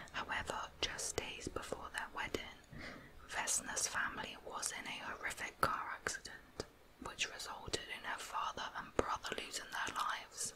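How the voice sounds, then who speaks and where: A host telling true crime stories for ASMR in a breathy, unvoiced whisper. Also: rough recording.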